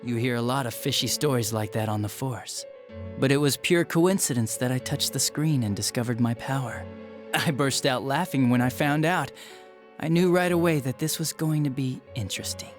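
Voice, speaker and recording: An actor playing a smug, spiteful young detective villain in a video game, with a sneering, flippant and theatrical manner. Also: male voice, mid-range voice, moderate pace, music in the background, natural voice. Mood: gleeful mocking. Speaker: police detective. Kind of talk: dramatic video game voice acting.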